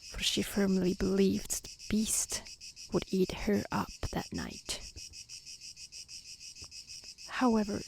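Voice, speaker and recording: A woman reading a fairy tale aloud in a soft, breathy ASMR style that often slips toward a whisper, gentle and careful. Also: mid-range voice, rough recording. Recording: faint background noise with chirping insects. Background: outdoor nature ambience, crickets.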